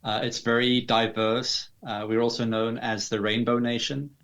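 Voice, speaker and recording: A man with a South African accent answering interview questions in a measured, explanatory way with formal wording. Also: medium pitch, level tone, fairly bright tone. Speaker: interview guest, digital artist.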